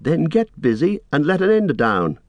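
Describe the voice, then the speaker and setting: A man voicing a gentlemanly hobbit master in short, urgent sentences, strained and commanding, as in a storybook reading. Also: on the high side, sing-song, moderate pace, no echo, pitched above the performer's own voice. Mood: amused, impatient.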